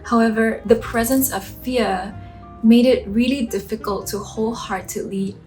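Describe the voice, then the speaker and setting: A woman's voice: fairly high pitch, fairly even intonation, moderate pace, a little mellow, soft background music, rough recording. A woman in her late twenties from Singapore, earnestly sharing personal reflections in a vlog. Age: late 20s. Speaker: vlogger. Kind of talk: reflective personal talk to camera.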